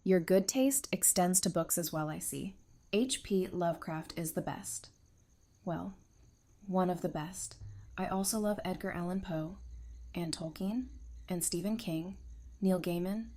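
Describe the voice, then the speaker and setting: A woman's voice: on the low side, measured pace, dark tone. A woman playing a frank, teasing, friendly nerd in an audio drama, with wry conversational delivery. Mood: playful, teasing. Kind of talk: fan audio drama acting.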